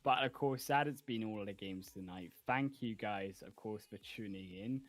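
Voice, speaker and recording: A man's voice: lowish voice, moderate pace, dry recording. A man casting esports, analyzing teams fluently and confidently and closing the broadcast. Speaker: esports caster.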